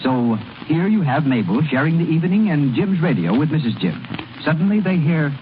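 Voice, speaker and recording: A male announcer narrates a scripted radio spot. His pitch is a little high and moves a lot. The broadcast is thin and phone-like with clear noise. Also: very animated, a little fast. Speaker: commercial announcer.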